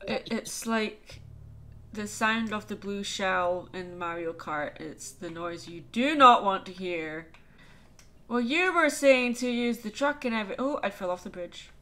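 A woman's voice: medium pitch, animated, a little slow, a bit bright. A woman hosting a let's play, chatting casually and exclaiming at what happens on screen. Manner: chatty, easily startled, exclaims at the game. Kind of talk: casual gameplay chat.

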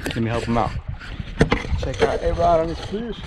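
A man's voice: fairly high pitch, animated, moderate pace. A man in his thirties giving casual running commentary to viewers as a fishing vlogger, repeating catchphrases and addressing them like buddies. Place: small open motorboat on a river. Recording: noisy open-air boat recording.

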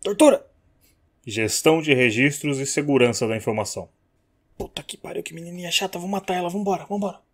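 A man's voice: fairly high pitch, big pitch swings, fairly quick. Brazilian man reading narration. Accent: Brazilian Portuguese. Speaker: guest voice narrator. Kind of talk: scripted narration outtakes with swearing asides.

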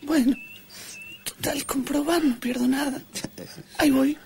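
A woman's voice: high voice, fairly even intonation, unhurried, creaky. A woman playing a hopeful, naive young piano student in a comic radio drama, with an Argentine accent. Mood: earnest, doubtful.

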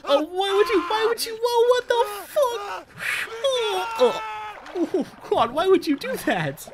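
A man giving casual, profane and excitable game commentary, talking straight to the character he is playing. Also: very high voice, a little slow, heavy background noise. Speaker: gaming video host. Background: game audio of boat and water.

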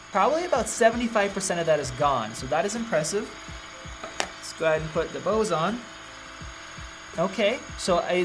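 A man's voice: high voice, noisy background. Tech vlogger, man in his thirties, upbeat and chatty, hands-on testing at a desk. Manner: upbeat, conversational, thinking aloud while testing. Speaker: tech reviewer. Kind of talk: casual product review. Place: small indoor studio room with a vent running.

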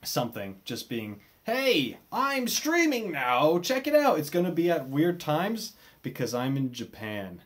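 A man's voice: fairly high pitch, wildly swooping pitch, measured pace, few pauses. Young American man vlogging, hesitant and casual. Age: 20s. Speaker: video creator. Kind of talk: casual vlog update to camera. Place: small bedroom, indoors.

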